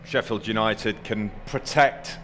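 A man's voice: lowish voice, slow pace, a bit bright, a little hiss or hum. Male presenter steering a post-match show. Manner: smooth presenter, poses questions and describes the scene. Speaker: show host. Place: pitch-side TV studio in a stadium. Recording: faint stadium ambience behind.

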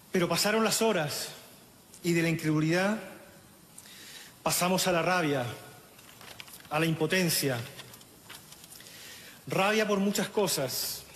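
A middle-aged man with a Chilean accent reads a funeral eulogy at a lectern microphone outdoors, in a solemn, measured way with halting restarts, audibly emotional. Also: high voice, fairly bright tone, a little hiss or hum. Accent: Chilean Spanish. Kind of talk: funeral eulogy, read aloud. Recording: echoey, reverberant outdoor sound with faint ambience.

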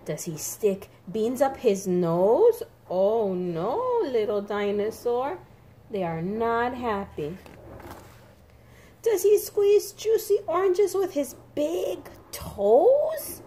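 A woman reading a picture book aloud to young children in an expressive, sing-song, emphatic way. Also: a little high, slow pace. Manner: animated, emphatic, sing-song with repeated words for small children.

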